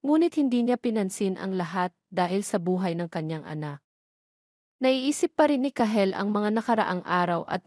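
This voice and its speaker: A woman's voice: mid-range voice, darkish tone, dry recording, clean studio sound. A woman with a Filipino accent reading scripted narration for a romantic drama story.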